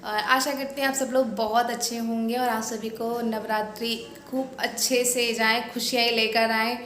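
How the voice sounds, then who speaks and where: Hoarse woman chatting through chores. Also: a little high, flat delivery, normal speed, a bit bright. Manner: chatty, explaining each chore step by step. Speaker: vlogger. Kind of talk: home vlog voice-over.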